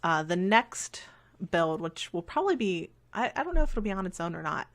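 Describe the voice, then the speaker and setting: A woman's voice: lowish voice, very animated, fairly quick, a bit bright. Scratchy-voiced woman chatting over gameplay. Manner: rambling and self-deprecating, frequent fillers. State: scratchy voice from talking. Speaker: let's play host. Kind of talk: casual chat over gameplay.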